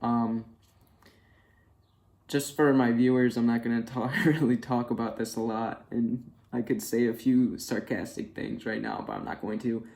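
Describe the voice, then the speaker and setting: A man's voice: mid-range voice, little pitch movement, unhurried, vocal fry, a little mellow. A twenty-one-year-old man chatting candidly in a casual personal vlog, with many fillers. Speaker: vlogger.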